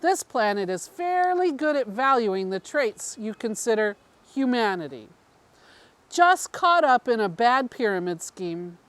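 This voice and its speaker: A woman's voice: on the high side, sing-song, unhurried, dry recording. A woman reading the part of an undercover alien woman and devoted mother, explaining her mission in an earnest, wry, matter-of-fact way. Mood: wry, explanatory. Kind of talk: first-person story reading.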